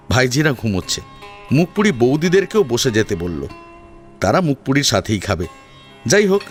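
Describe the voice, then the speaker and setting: A man narrating a scripted family drama as a storyteller, in a dramatic audio-story style. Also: fairly low pitch.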